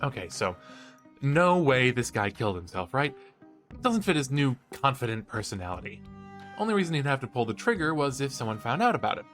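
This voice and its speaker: A man's voice: medium pitch, big pitch swings, brisk, fairly bright tone, faint music behind. A man playing a cheeky, eager young college true-crime podcaster in an audio drama, with a playful, theatrical delivery. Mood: eager, speculating. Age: young adult. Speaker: podcast co-host. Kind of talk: scripted banter in a horror audio drama.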